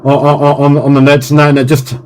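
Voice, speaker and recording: A man's voice: on the high side, monotone, fairly quick. A man hosting a CB radio net in casual chat, often stumbling and repeating his words, with a polite manner. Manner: hesitant, repeats words and phrases; polite, apologetic. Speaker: CB radio net host and live streamer. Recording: very noisy CB radio session with engine-like hiss. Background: loud radio noise and clicks.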